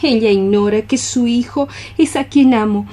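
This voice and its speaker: An actress playing a lovesick young maiden cockroach, lyrical and declamatory in a poetic radio play. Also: female voice, on the high side, moderate pace, mellow, lightly acted. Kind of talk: poetic radio theatre acting.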